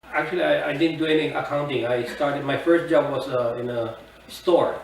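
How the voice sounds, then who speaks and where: A man's voice: a little high, gravelly. A man in his fifties from the Philippines, recalling his life at an easy pace in a plain, matter-of-fact interview. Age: 50s. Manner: unhurried, plain-spoken recollection with fillers. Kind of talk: oral history interview. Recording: echoey room, distant mic, faint noise.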